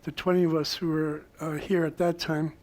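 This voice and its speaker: A man with a soft voice recalling his past as a panelist at a college alumni roundtable. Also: a little high, flat delivery, normal speed. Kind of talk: personal recollection on a panel. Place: college event room.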